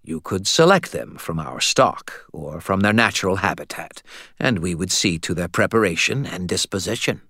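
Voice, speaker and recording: A man voicing a courtly, businesslike male vampire in an audiobook, with a formal, measured delivery. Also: low voice, lively intonation, bright, forceful tone, no room sound, clean studio sound, exaggerated voice. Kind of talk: audiobook character voice.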